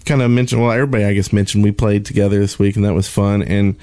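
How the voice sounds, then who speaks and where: A man's voice: on the low side, steady tone, rapid-fire, mellow. A man co-hosting a podcast in casual gaming chat, informal, joking and rambling as he goes. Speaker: podcast co-host.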